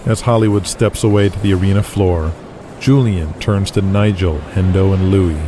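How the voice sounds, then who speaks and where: A man's voice: low-pitched, steady tone, moderate pace, background noise. A man reading scripted, dramatic narration as the narrator of an audio drama.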